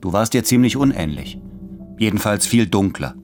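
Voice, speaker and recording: An actor plays a needy, anxious man in a literary radio drama. His voice is low, and he confesses his dread in a brooding manner. Music runs clearly underneath. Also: animated, moderate pace, a little mellow, lightly acted. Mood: brooding, desperate. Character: anxious, fearful, needy man in love, tormented writer.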